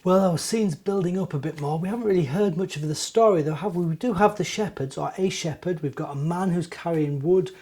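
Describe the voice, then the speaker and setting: Male minister as children's storyteller, mellow timbre, simple phrasing aimed at young listeners. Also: high voice, brisk, few pauses, husky. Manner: asks the young listeners questions. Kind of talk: children's story time, narration and gentle talk.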